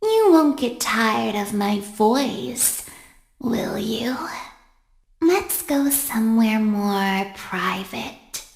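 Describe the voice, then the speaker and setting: A woman's voice: fairly high pitch, expressive, extremely slow, gravelly, reverberant, heavily acted. A woman voicing a predatory bird animatronic that speaks in creepy whispers. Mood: sinister.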